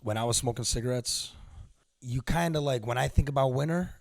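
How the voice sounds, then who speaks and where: A man's voice: mid-range voice, brisk, no echo, studio quality. A man in his late twenties co-hosting a casual podcast, talking in a laid-back way and swearing casually. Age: late 20s. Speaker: podcast co-host. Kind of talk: casual chat.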